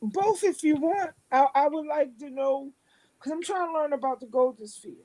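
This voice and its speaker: A woman in a casual discussion, asking a long, deliberate question. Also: sits high, dark tone, rough recording.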